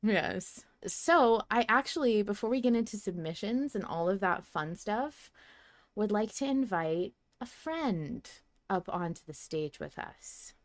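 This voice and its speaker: A woman's voice: medium pitch, expressive, a little slow. A woman hosting a casual fan podcast, effusive and informal in the way she talks. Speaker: podcast host.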